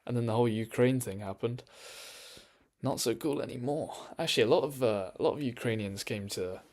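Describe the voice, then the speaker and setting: A man's voice: medium pitch, a little fast, a little mellow. A man chatting casually on a live stream from his bedroom, rambling with lots of ums and restarts.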